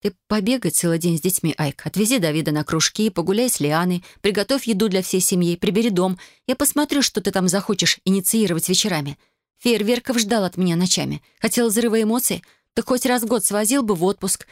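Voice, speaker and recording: A reader voicing a hurt, bitter young wife and mother in an audiobook, reproachful and sharp. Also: mid-range voice, steady tone, fast, no room sound, studio quality, voice pushed up, lightly acted. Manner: reproachful, sharp, rising in anger. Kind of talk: audiobook character voice.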